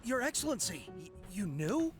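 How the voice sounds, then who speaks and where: A man's voice: high-pitched, very animated, normal speed, faint music behind. A man playing a zealous, desperate young soldier in a video game, earnest and fervent. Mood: pleading, fervent. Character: zealous, desperate young male soldier pleading with his leader. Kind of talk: scripted video game dialogue.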